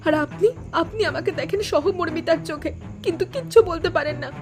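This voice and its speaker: Young wife female voice actor, anguished and earnest, quiet-natured but firm, cartoon drama over a clear music bed. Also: extremely high pitch, a little fast. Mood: pleading. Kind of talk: Bengali cartoon melodrama voice acting.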